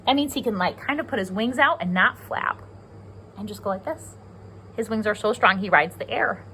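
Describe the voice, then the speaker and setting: A woman's voice: mid-range voice, expressive, moderate pace, bright, forceful tone, slight background noise. A woman with an American accent reading a picture book aloud to young children, explaining simply and asking them questions as a pre-K teacher.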